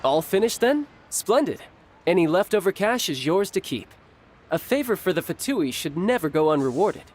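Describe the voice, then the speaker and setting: A man's voice: high voice, sing-song, normal speed, darkish tone, a little hiss or hum. An actor playing a suave young male agent in an anime game, playful, self-assured and slyly menacing. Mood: pleased. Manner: smooth, teasing, self-assured.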